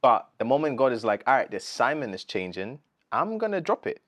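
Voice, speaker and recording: A man's voice: mid-range voice, brisk, no room sound, clean studio sound. A British man of thirty, a gospel artist talking earnestly and casually in an interview, full of slang. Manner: earnest, animated, slangy, says bro a lot.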